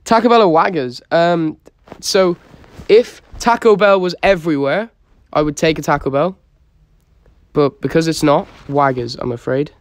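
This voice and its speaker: A man's voice: a little high, normal speed, no room sound. A young man with a Dublin Irish accent chatting casually on a livestream, answering comments in a laid-back, slangy way. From Dublin, Ireland. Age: young adult. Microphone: phone held close to the face.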